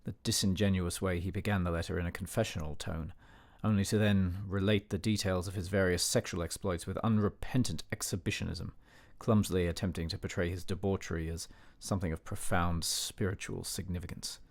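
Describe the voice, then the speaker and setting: An actor plays an older, educated gentleman narrating a period radio drama in a low voice. He is measured and formal, with a dignified, censorious air. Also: steady tone. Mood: disdainful. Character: disapproving, educated older man narrating a memoir.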